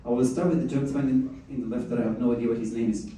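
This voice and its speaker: A man's voice: mid-range voice, very fast. A man hosting a live meetup, chatting casually and coaxing the audience to join in. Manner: animated, chatty, coaxing the crowd. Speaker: event host. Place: event room with audience. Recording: echoey room heard from far off, faint noise.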